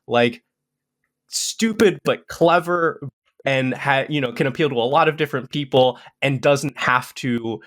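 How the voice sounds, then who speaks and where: Halting, self-deprecating man, mid-range voice. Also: medium pitch, fairly bright tone. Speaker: podcast guest, content creator. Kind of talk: casual chat.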